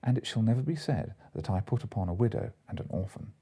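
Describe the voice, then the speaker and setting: A man reading the part of a wily, elaborately polite and pompous gentleman in a comic audiobook. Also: lowish voice, animated, fast, slightly rough. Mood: pompous, courteous. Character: mock-courteous gentleman storyteller, grandiloquent and wily. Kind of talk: audiobook character voice.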